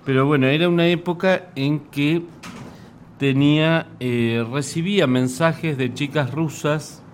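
A man's voice: on the high side, unhurried. Wry Argentine man, noisy live recording. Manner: wry, ironic. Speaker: poet reading own work. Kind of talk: live poetry reading with casual introductions.

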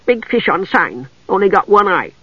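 Whiny gully dwarf beggar, high-pitched, cowardly, greedy, broken pleading speech, fantasy storybook. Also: male voice, sing-song, moderate pace, slightly rough, no room sound, lo-fi sound, heavily acted. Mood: grudging. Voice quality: whiny, sniveling. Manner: broken pidgin grammar, pleading.

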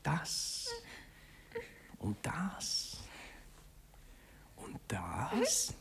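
An eager young Viennese gentleman in a sixties radio play. He coaxes in a half-whispered, creaky voice that swings widely in pitch, halting and getting flustered. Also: huge pitch swings, full character voice. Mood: coaxing, aroused. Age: young adult. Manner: halting, coaxing, breathy murmuring. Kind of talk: 1960s radio play acting.

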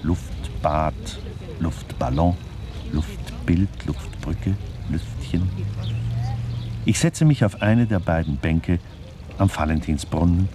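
An actor playing a wry, digressive narrator in a literary radio play, musing deliberately and addressing the listeners. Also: male voice, sits low. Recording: clearly noisy background.

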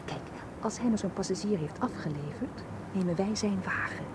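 A woman's voice: mid-range voice, moderate pace, natural voice. A woman acting in a radio drama as a determined, businesslike amateur investigator who presses insistently. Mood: resolute. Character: determined, brisk woman investigating, taking charge. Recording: noisy radio play with vehicle ambience.

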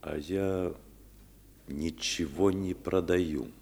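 An actor playing a principled, defiant and courteous reformer in a radio play, with theatrical delivery. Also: male voice, low-pitched, fairly even intonation, drawn-out words, gravelly, darkish tone, echoey room, full character voice. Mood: defiant, dignified.

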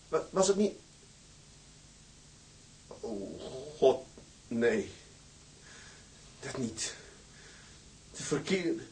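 Broken sea captain in radio drama, really slow n halting, haunted, noisy background. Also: male voice, medium pitch, a little mellow. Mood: dazed, agitated. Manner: halting, haunted, repeats himself. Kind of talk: Dutch audio drama acting.

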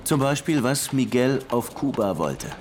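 An actor playing a dogged, brooding Cuban police lieutenant in a radio crime drama, insistent in questioning and reflective in narration. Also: male voice, mid-range voice, lively intonation, a little slow, darkish tone, slight background noise, natural voice. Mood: stern, pressing.

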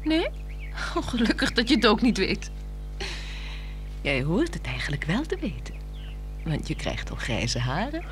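A woman's voice: medium pitch, huge pitch swings, measured pace, long pauses. A woman acting a teasing, whimsical and dreamy mistress in a 1960s radio drama. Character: playful, mysterious, dreamy mistress in the woods. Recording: 1960s radio play, music behind.

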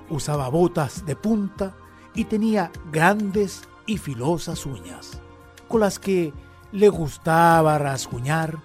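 A man's voice: a little high, a little mellow. A man giving dramatic storybook narration for a radio play. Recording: faint music behind narration.

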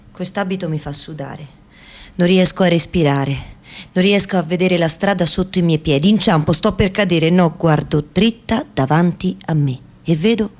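A woman acting a fearful yet defiant Afghan woman in a radio theatre monologue, speaking in deliberate, repetitive short phrases. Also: fairly low pitch, darkish tone, close to an everyday voice. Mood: tense, oppressed. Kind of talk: dramatic first-person monologue, radio theatre.

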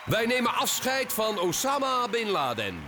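An actor in a satirical radio play voicing a pompous, scheming juror at heaven's gate in a commanding, theatrical show-host manner. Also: male voice, very high-pitched, wildly swooping pitch, unhurried, crowd noise, noisy background, exaggerated voice.

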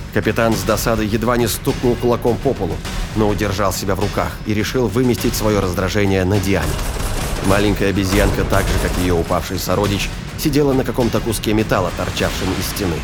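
A man narrating a science fiction thriller in a scripted, dramatic storytelling style. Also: lowish voice.

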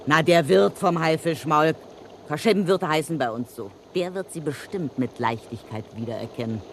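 A woman's voice: low voice, normal speed, a little hiss or hum. Sex: woman. Figure: a brash streetwise blackmailing widow. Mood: scheming. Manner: cocky, slangy, mocking. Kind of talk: vintage radio play acting.

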